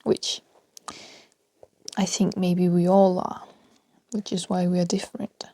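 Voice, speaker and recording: A woman with a Danish accent rambling freely on her solo podcast, talking softly and often dropping to a half-whisper, with small laughs between thoughts. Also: fairly low pitch, level tone, measured pace, no room sound. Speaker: podcast host.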